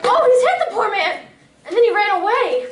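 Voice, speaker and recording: A woman playing an excitable Victorian London woman, exclamatory and theatrical, in a radio-style stage play. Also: very high-pitched, normal speed, over a noisy crowd. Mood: alarmed. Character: excitable women in a Victorian London street scene. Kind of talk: old-time radio drama acting on stage. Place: community playhouse stage. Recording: echoey, distant stage recording, faint noise.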